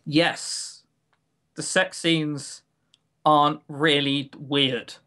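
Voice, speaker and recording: Male podcast co-host, slightly high voice, casual, emphatic and jokey delivery. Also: level tone, extremely slow, pushed and bright. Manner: enthusiastic, emphatic, joking. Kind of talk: casual podcast chat reviewing games.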